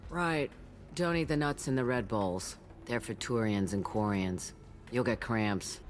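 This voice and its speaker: A woman's voice: a bass voice, unhurried. A woman playing a blunt, salty old alien matriarch who tends bar in a video game, world-weary in manner. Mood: gruff, friendly. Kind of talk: video game dialogue acting.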